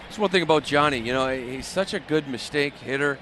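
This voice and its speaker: Quick-talking male baseball analyst. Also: fairly high pitch. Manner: informal, explanatory. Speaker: color analyst. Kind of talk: live baseball radio commentary, conversational analysis. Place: stadium broadcast booth. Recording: faint stadium noise behind a broadcast.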